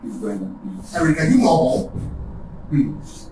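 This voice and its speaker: An actor playing a hot-tempered young man mocking his friend, shouting and then dropping to low threats. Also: big pitch swings, a little slow. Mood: taunting. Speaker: rival suitor in a skit. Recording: echoey room, far from mic, noisy.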